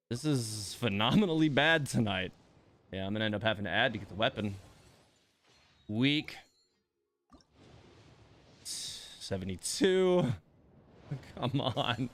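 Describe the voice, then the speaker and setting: Exasperated male game streamer, wide pitch swings. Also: a bit bright. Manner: exasperated grumbling with mild swearing and sighs. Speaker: game livestream host. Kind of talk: casual livestream chat and reactions while playing.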